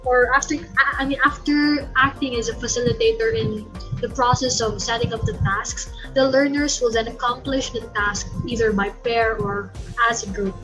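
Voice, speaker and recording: A woman's voice: fairly high pitch, fairly even intonation, moderate pace, fairly bright tone, faint music behind, poor audio. A young Filipino woman, a native Tagalog speaker, presenting a recorded class lecture in an explanatory way, often correcting herself mid-sentence. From Philippines. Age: young adult. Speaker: student presenter.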